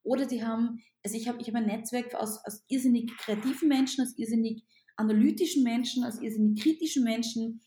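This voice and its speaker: Austrian-accented female teacher, non-native, higher voice, roomy echo, lively interview answers. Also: brisk, a little hiss or hum. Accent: Austrian German. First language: not German. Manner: animated, repeats words and restarts.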